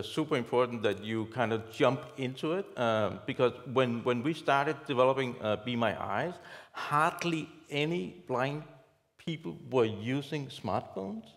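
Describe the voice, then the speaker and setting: A man of middle pitch speaks as a panelist, hesitant and filling pauses with fillers and light laughs. His voice rings with the long reverb of a conference hall. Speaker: panelist, app developer.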